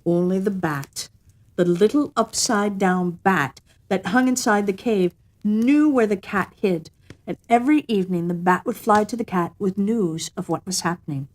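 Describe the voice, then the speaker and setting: A woman storyteller narrating a children's tale expressively, with a measured storytelling cadence. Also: mid-range voice.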